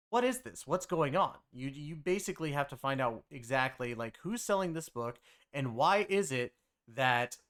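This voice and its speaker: A man's voice: a little high, a bit bright. A man giving a casual, rambling recap of a video game story, with an emphatic, conversational delivery. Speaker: game streamer and story reviewer.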